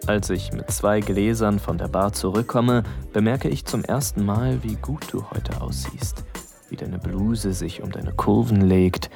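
A man's voice: on the low side, expressive, measured pace, vocal fry, over music. An actor playing a smitten young male best friend, narrating an intimate audio drama in the first person, musing and addressing the listener as you. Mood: fond, drawn.

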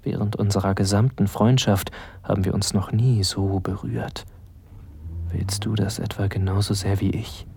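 An actor playing an aroused, hesitant young man in love with his best friend, narrating an intimate audio drama in a hushed, breathy voice that often slips into whispers. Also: fairly low pitch, level tone, normal speed, exaggerated voice. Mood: nervous, yearning.

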